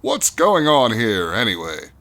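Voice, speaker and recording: An actor playing an upright, courteous and authoritative Mountie sergeant in a comedic radio drama. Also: male voice, medium pitch, huge pitch swings, measured pace, very noisy. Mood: stern. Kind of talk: comedic old-time radio acting.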